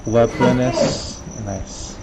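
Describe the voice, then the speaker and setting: A man's voice: medium pitch, fairly even intonation, extremely slow, heavy background noise. A man giving casual, slangy gameplay commentary as a streamer, with a cocky manner. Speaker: game streamer. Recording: game music behind the voice.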